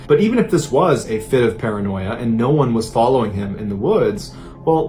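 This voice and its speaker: A man with an American accent telling a true-crime mystery in an earnest, emphatic, suspenseful storytelling style. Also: mid-range voice. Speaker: storyteller host of a mystery series. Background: background music under the voice.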